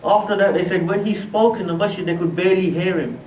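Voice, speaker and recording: A man's voice: high voice, quick pace, a little mellow. A man giving a religious lecture in a mosque, speaking conversationally with frequent "you know" fillers. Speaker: Islamic teacher. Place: mosque prayer hall. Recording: echoey mosque hall, distant mic.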